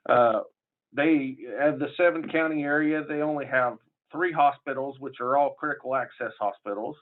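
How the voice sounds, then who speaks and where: A man's voice: medium pitch, normal speed. Folksy southern Illinois man on tinny line. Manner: folksy, rambling, many uh fillers and restarts. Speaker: public health association presenter. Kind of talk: conference presentation. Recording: tinny phone-like audio.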